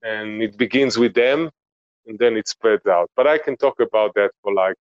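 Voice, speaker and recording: Low-voiced Israeli man, slow and reflective. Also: no echo, dull audio. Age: 40s. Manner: slow, reflective, searching for words. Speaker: guest. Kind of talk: podcast interview. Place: home, remote interview.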